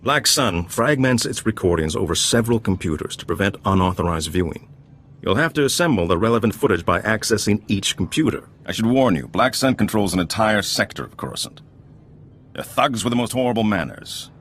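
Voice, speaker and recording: An actor playing a genteel, courteous underworld informant in a video game, speaking with measured politeness. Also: male voice, fairly low pitch, lively intonation, fairly bright tone, a little hiss or hum. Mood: courteous, cautioning. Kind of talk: video game dialogue acting.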